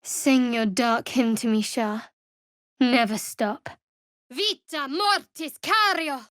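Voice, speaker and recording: An actress playing a guarded, devout young woman cleric in a video game, with a wry, measured delivery. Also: high voice, a bit bright, no room sound, studio quality. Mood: fervent incantation. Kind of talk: video game character voice acting.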